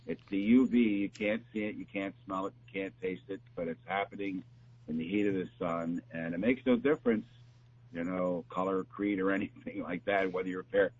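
A man talking as a doctor giving health advice, explaining in a halting, repetitive, conversational way. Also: deep voice, steady tone. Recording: dull, noisy line with hum.

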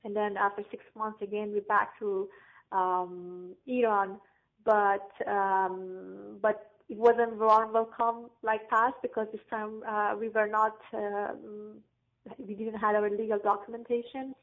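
A woman from Afghanistan, not an English speaker, answering interview questions haltingly with many pauses and fillers. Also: mid-range voice, flat delivery. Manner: halting, searching for words, frequent fillers. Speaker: guest. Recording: tinny phone-like line.

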